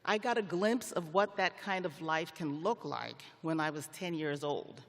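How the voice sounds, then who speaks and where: Middle aged guy doing a grad ceremony speech, kinda high voice, careful and formal, big echoey arena. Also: a bit bright. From Liberia. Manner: measured, formal, deliberate phrasing. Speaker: commencement speaker, federal magistrate judge. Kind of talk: prepared commencement speech. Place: large indoor arena, on stage at a ceremony. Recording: long reverb of a large arena.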